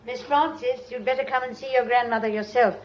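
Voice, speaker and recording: A woman's voice: fairly high pitch, steady tone, fairly quick, close to an everyday voice. A woman acting a fussy, deferential British lady in a radio drama, with a fluttery, hesitant, polite manner. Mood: fussy, anxious. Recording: dull, muffled lo-fi radio recording.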